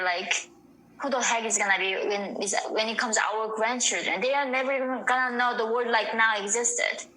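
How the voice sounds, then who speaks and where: A young woman with a Korean accent and a bright, tense voice answers interview questions earnestly. English is her second language, and she fills her speech with "like". The recording sounds roomy, with faint ambience behind her. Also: medium pitch, slight background noise. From North Korea. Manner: earnest, frequent "like" fillers. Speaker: interview guest.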